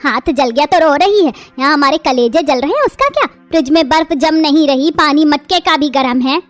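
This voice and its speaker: A woman voicing a harsh middle-aged mother-in-law in an animated family drama, hurling shrill insults. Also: normal speed. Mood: furious. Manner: shrill, insulting, shouting tirades.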